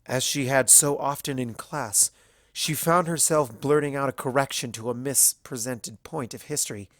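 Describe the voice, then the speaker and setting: A man's voice: mid-range voice, normal speed, a bit bright, no echo. Man narrating a story, dry close sound. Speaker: fan fiction narrator.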